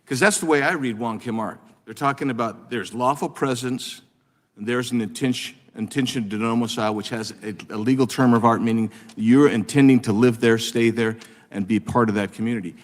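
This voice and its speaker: A congressman questioning witnesses at a committee hearing, talking in halting bursts with many restarts and fillers. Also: mid-range voice. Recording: long reverb of a hearing room.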